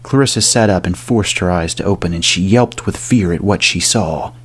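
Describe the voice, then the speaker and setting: A man narrating a scripted gothic horror audio drama in a measured, dramatic delivery. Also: sits low, heavy background noise. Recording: music under the voice.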